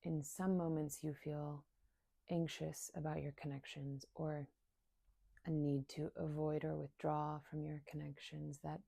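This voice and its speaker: A woman leading a guided meditation in a soft, soothing, unhurried way, leaving long pauses between short phrases. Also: low voice, flat delivery, creaky, no room sound, clean studio sound. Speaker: meditation guide and somatic coach.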